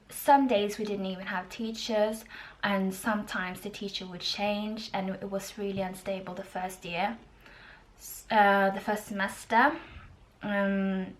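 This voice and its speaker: A woman, giving a casual, informative vlog in a halting way with drawn-out pauses. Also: mid-range voice, steady tone, rough recording. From Norway. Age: 20s. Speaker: student video blogger.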